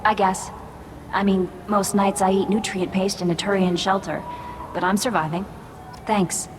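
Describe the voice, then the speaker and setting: A woman's voice: mid-range voice, flat delivery, normal speed, noisy background, natural voice. A woman playing a shy, downtrodden young alien girl in a video game, with a hesitant, unassuming manner. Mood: touched, subdued.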